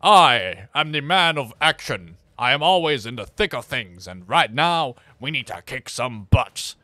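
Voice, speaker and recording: A man voicing a macho action hero who is eager to fight, with a drawn-out, swaggering delivery. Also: mid-range voice, sing-song, sharp tone, dry recording, pitched below the performer's own voice. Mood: cocky.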